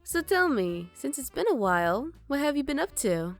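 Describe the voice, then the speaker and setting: A woman's voice: sits high, sing-song, moderate pace, faint music behind. A woman playing a teasing former babysitter.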